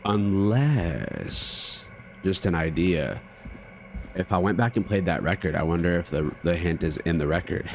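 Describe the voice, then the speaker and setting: A low-voiced American man gives informal commentary on a game. He is slangy and thinks aloud, with faint noise and game ambience behind him. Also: a little slow. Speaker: gaming streamer.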